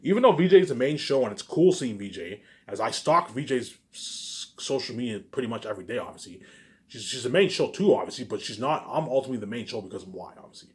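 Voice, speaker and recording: Fast-talking man, boastful and repetitive. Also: medium pitch, lively intonation. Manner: repetitive, circling back over the same phrases; boastful, self-aggrandizing. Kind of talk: rambling informal monologue to viewers.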